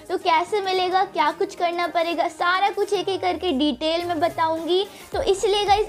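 Very high female voice, young, Indian accent, gaming channel host, explanatory walkthrough, music underneath. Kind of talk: explanatory game event walkthrough, addressing viewers. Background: background music.

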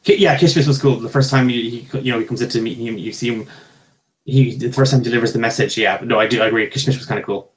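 A man's voice: medium pitch, fast talker. Rambling, casual man. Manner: rambling, hesitant, backtracking. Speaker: book club panelist. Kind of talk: casual book discussion.

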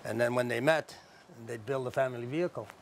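A middle-aged man explaining his craft informally, in a plain-spoken, halting, reflective way, as a traditional builder. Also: mid-range voice, creaky, a little hiss or hum. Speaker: traditional canoe builder and knowledge keeper. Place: outdoors under a park tent.

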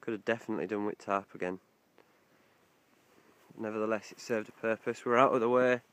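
A man's voice: lowish voice, fairly even intonation, fairly quick, fairly bright tone, smooth. A young man talking casually to a handheld phone while wild camping outdoors, chatty and swearing now and then. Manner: chatty, swearing, laughs easily. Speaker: vlogger, wild camper. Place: outdoors on a coastal hillside.

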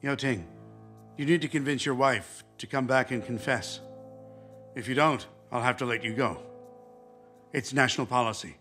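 A man's voice: medium pitch, normal speed, long pauses, sharp tone, faint music behind, lightly acted. A man playing a firm, official-sounding office director in a dubbed stage drama. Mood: stern, resigned. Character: firm, resigned office director pressuring an employee.

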